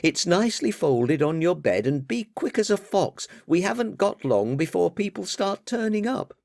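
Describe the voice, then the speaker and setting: A man's voice: sits high, very animated, creaky, a little mellow, no echo, studio quality, voice pushed up, full character voice. A man voicing a bubbly, bossy young woman who scolds and chatters at her father. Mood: chirpy scolding. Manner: chirpy, nagging, quick to scold. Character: lively young adult daughter, bubbly and bossy.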